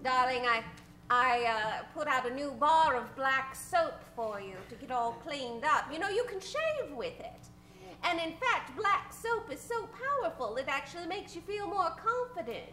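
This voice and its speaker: A woman's voice: high voice, very animated, moderate pace, bright, forceful tone, reverberant, exaggerated voice. A woman playing a chipper, doting homemaker in an old-time radio comedy, sugary and theatrical.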